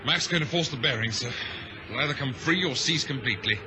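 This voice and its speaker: An actor playing a brisk, dutiful ship's officer who reports urgently, in an old-time radio drama. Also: male voice, mid-range voice, expressive, close to an everyday voice. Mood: tense, dutiful. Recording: noisy vintage radio drama recording.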